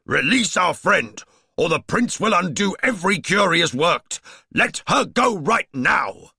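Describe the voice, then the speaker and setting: A man's voice: high voice, big pitch swings, measured pace, gravelly, sharp tone, husky, dry recording, studio quality, pitched above the performer's own voice, full character voice. A man voicing a protective man who bellows demands. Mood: bellowing.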